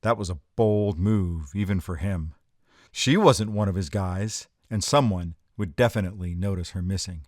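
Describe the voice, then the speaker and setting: A man's voice: low voice, moderate pace, a little mellow, no room sound, clean studio sound. A man playing a hard-boiled, wisecracking detective in a noir audio drama, terse and sardonic. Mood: brooding.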